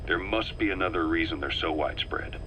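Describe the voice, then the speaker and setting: An actor playing a wary, embittered mercenary second-in-command in a video game, speaking in a grave, emphatic, dramatic way. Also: male voice, sits low, a little fast, bright, forceful tone, a little hiss or hum, poor audio. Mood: wary, concerned. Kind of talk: scripted video game dialogue.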